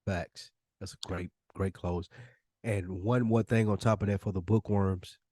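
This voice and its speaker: A low-voiced American man talks as a podcast co-host in a close, dry recording. He gives advice conversationally and leans on repeated phrases to drive his point home. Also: steady tone, a little slow, mellow, no room sound. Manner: emphatic, repeats phrases for weight.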